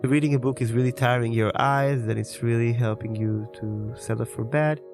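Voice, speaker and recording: A man's voice: medium pitch, fairly even intonation, normal speed, music in the background. A man whose first language is Hebrew, in his thirties, talking casually and at length about his daily routine as a podcast host. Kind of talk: casual personal monologue.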